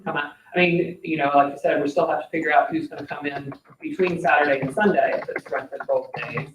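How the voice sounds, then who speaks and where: A man with a fairly high voice speaks haltingly at a board meeting. He is off-mic in an echoey room with faint noise. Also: steady tone, a bit bright, recorded from a distance. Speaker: meeting participant. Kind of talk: informal meeting discussion. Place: indoor public meeting room.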